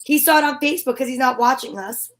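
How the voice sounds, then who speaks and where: A woman with a clearly high voice co-hosts a casual chat. She banters back with mock indignation and halts as she hunts for words. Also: fast, fairly bright tone. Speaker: co-host.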